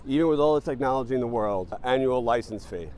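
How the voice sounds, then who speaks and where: A startup founder answering interview questions in a relaxed, thoughtful way, with many ums and uhs. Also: male voice, medium pitch, fast talker. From born in Panama, raised in New Jersey.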